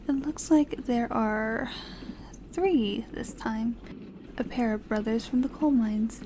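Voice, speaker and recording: A woman plays a poised young assistant with a slightly high voice who whispers softly in a formal, teasing way. Her speech stays prim and courteous. A clear ambience hiss runs under her voice. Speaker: personal assistant to the listener. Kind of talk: ASMR audio roleplay.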